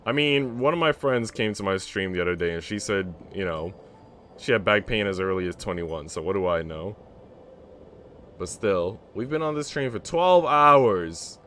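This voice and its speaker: A man's voice: lowish voice, fairly bright tone, slight background noise. A man livestreaming, mixing slangy, rambling casual chat and swearing with reading a visual novel aloud. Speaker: game streamer.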